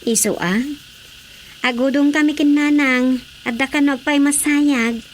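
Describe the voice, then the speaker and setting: High-voiced affectionate Ilocano wife. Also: female voice, fairly even intonation, measured pace, slight background noise. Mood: casual. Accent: Filipino, Ilocano. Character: affectionate, chatty wife on her way out. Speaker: radio drama actress. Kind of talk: Ilocano radio drama acting.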